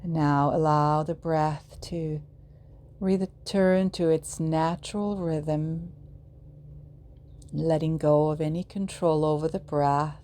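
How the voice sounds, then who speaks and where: Very slow, low-voiced woman guiding meditation. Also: steady tone, dark tone. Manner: soothing, measured, with long pauses between short phrases. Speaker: yoga nidra guide.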